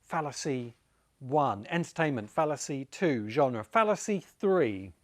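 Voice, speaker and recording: Male presenter, mid-pitched, for an explanatory video essay; emphatic, deliberate delivery with pointed pauses. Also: expressive. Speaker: presenter and writing course teacher. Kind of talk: explanatory video essay, semi-spontaneous lecture.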